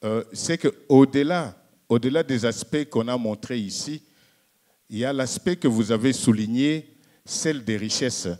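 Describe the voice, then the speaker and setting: A man answering as a panel speaker in a public discussion, arguing in a halting way and repeating words. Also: mid-range voice, darkish tone.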